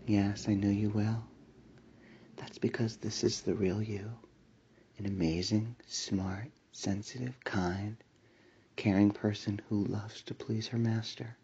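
A man delivering a slow hypnotic induction in a soft, soothing voice with breathy whispers and a repetitive commanding cadence. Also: fairly low pitch, fairly even intonation, highs cut off. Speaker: hypnosis audio creator.

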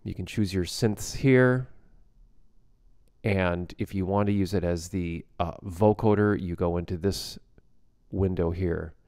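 A man giving a casual software tutorial as a music production instructor, explaining plainly step by step. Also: low voice, unhurried, no room sound, clean studio sound.

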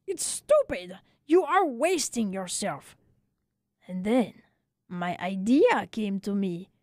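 A woman playing an excitable, tender-hearted little French barber telling his tale in a dramatic, emphatic way for a radio story. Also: medium pitch, slow pace, no room sound, clean studio sound. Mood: agitated, musing.

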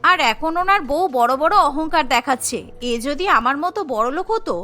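A woman's voice: sits high, animated, moderate pace, bright, forceful tone. A woman voicing a spoiled, haughty rich young wife in a cartoon story, boastful, scornful and dramatic. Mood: jealous, scornful.

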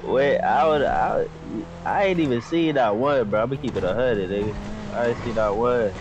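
A man's voice: mid-range voice, moderate pace, slight background noise. American man on dull game voice chat. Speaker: fellow online player. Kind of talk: casual slangy voice chat.

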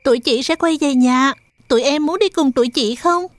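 A woman's voice: high-pitched, normal speed, no echo, soft background music, close to an everyday voice. A woman voicing a caring, big-sisterly young girl in a dubbed children's cartoon. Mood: inviting.

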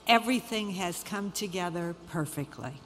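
A woman's voice: on the low side, lively intonation, moderate pace, a bit bright. A middle-aged woman delivering prepared opening remarks as an event chair at an awards ceremony, speaking into a podium microphone. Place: large event hall, on stage. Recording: hall reverb, background noise, podium microphone.

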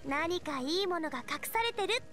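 A bright, animated young girl's voice, pitched very high. She is an eager, friendly chef from an anime game, expressive and full of energy, with light music behind her. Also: a little fast. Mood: cheerful. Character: cheerful, eager teenage girl chef adventurer. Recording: studio voice over game music.

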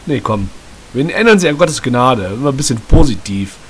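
A man with a slight cold commenting casually and sarcastically on a story game, often clearing his throat. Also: medium pitch, lively intonation, moderate pace. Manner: chatty, joking, sarcastic. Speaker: let's play host. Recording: hissy home mic.

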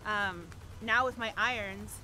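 Bright, bubbly young woman golfer. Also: mid-range voice, measured pace, soft background music. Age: young adult. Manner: bubbly, laughs often, says "like" a lot. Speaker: long drive champion golfer. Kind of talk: casual promotional interview. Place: outdoors on a golf course. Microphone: boom mic overhead.

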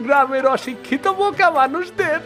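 Woman as a very high-pitched fawning cartoon sidekick. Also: fairly quick, a bit bright, faint music behind. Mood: sly, flattering. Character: fawning, scheming sidekick of a village headman. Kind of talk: Bengali cartoon voice acting.

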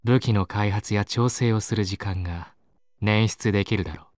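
A man's voice: lowish voice, moderate pace, no echo, studio quality, natural voice. An actor playing a shrewd, calculating strategist in a Japanese video game, a man who speaks in clipped, authoritative, matter-of-fact phrases. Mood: cool, calculating. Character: shrewd, calculating male strategist, coolly giving orders.

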